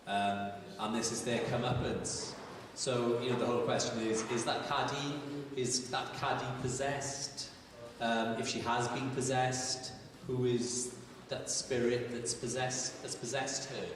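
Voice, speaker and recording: A man's voice: mid-range voice, flat delivery, unhurried, a little hiss or hum. A filmmaker answering audience questions at a live Q&A, thoughtful and searching for his words. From Wales. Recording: echoey hall, voice from far off.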